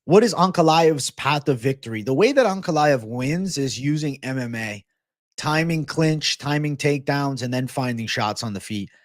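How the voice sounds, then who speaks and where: Young adult male livestream host from Massachusetts, casual and slangy, mid-pitched voice on a dry close mic. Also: normal speed, no echo. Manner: loose, animated, slangy, says bro. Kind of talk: casual livestream chat reading viewer comments.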